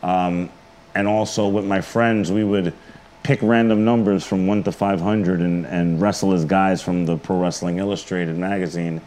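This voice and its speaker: A man's voice: low voice, steady tone, normal speed, darkish tone. A middle-aged man with a New York accent, chatting casually and informally as a wrestler being interviewed in a studio. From the Bronx, New York. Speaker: interview guest, pro wrestler. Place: indoor studio.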